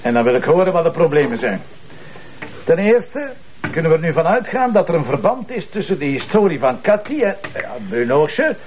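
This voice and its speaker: A Flemish police commissioner in a TV crime drama. His fairly high, very animated voice is bossy and teasing, like a schoolmaster running a class. There is faint noise on the recording. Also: brisk. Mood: schoolmasterly, probing. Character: bossy, sardonic male police commissioner leading a briefing.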